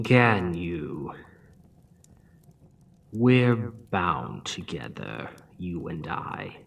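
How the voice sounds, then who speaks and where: A scheming demon king, seductive and mocking, as a man acts him out. His voice is very deep and unhurried, purring taunts that sink into whispers. The mic sits a little distant in an ASMR roleplay. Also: gravelly, exaggerated voice. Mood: taunting. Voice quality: deep, slow, intimate. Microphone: close ASMR mic.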